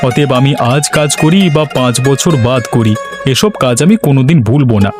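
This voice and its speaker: A man's voice: mid-range voice, expressive, brisk, natural voice. A man voicing a weary but self-assured village woodcutter in a cartoon, chatting and grumbling. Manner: conversational, grumbling. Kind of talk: cartoon story voice acting. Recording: music behind the voice.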